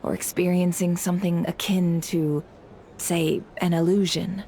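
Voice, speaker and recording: A woman playing a shrewd, teasing creditor and intel dealer in a video game, sly and coolly unhurried. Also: on the low side, fairly even intonation, mellow, slight background noise.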